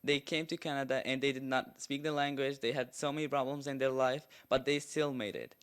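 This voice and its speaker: A man's voice: mid-range voice, fairly even intonation, brisk, clean tone. A teenage boy giving an earnest, prepared presentation at a school board meeting. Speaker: student presenter.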